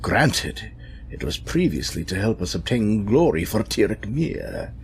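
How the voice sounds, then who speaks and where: An actor playing an insolent, scheming creature-maker in an audio drama, talking in a wordy, formal, sly way. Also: male voice, lowish voice, big pitch swings, a little slow, slight background noise. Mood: smug, defiant. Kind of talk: dramatic audio fiction acting.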